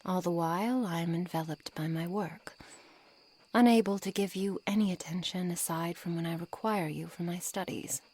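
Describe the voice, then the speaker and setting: A woman playing a haughty, coolly controlling witch in an ASMR roleplay, speaking formally and deliberately in a breathy voice that often nears a whisper. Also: fairly low pitch, darkish tone. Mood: brooding, disdainful. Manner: slow, formal, deliberate, condescending. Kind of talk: ASMR roleplay, scripted monologue to the listener.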